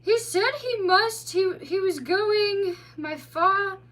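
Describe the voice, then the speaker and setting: A woman's voice: very high-pitched, fairly bright tone, voice pushed up, full character voice. A woman voicing a stammering young male otter. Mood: faltering. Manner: halting, stammering, slurred. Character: young male otter, frightened, sleepy, tipsy.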